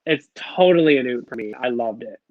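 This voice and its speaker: A man's voice: medium pitch, lively intonation, brisk, dry recording. A man co-hosting a casual review chat, gushing and bubbly, full of "like" fillers. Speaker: podcast co-host. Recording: dull lo-fi dry sound.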